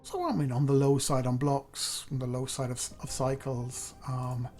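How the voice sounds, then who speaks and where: A slightly hoarse man, thinking aloud in chatty, self-deprecating gameplay commentary as host. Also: mid-range voice, little pitch movement, moderate pace, warm tone, faint music behind. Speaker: let's play host.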